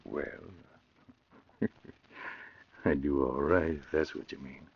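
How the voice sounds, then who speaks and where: Deep, gravelly smug racketeer. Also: male voice, steady tone, takes it slowly, heavily acted. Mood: smug, wary. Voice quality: gravelly, husky low voice. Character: hard-featured, well-dressed notorious male racketeer, smug and wary. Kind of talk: 1940s radio crime drama acting. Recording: muffled old radio broadcast recording.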